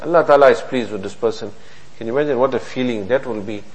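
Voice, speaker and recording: A man giving a hadith lesson in an earnest, repetitive preaching manner, asking rhetorical questions and reciting Arabic quickly. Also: medium pitch, rough recording. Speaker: religious teacher.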